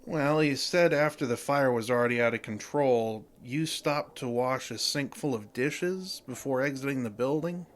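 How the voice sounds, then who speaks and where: An actor playing a low-key police officer in an audio drama, questioning in a casual, hesitant way. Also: male voice, normal speed, hardly any pauses, close to an everyday voice. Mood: casually probing. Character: unhurried, probing male police officer.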